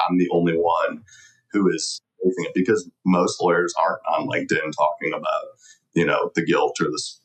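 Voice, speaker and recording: A man's voice: low voice, a bit bright, low-quality recording. A middle-aged man answering conversationally as an interview guest, earnest and reflective. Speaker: podcast guest, lawyer.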